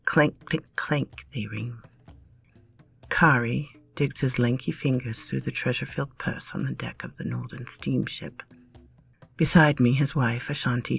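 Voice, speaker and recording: Storyteller, woman, husky whispery read, intimate. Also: extremely low pitch. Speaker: story narrator. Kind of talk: dramatic storybook reading.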